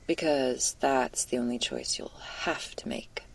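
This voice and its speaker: A woman acts out a female stoner werewolf in an ASMR roleplay, laid-back and caring with an anxious streak. Her voice sits low and crackles with fry. She talks slowly and hesitantly in casual slang, slipping between a breathy murmur and a whisper. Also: very animated, exaggerated voice. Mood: gentle, reassuring. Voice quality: husky, breathy, creaky. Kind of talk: intimate ASMR roleplay, spoken to the listener.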